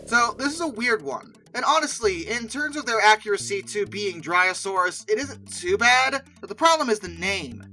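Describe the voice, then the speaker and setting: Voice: mid-range voice, bright, forceful tone. A host giving conversational, opinionated video commentary, with emphatic asides. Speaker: host of a video ranking show.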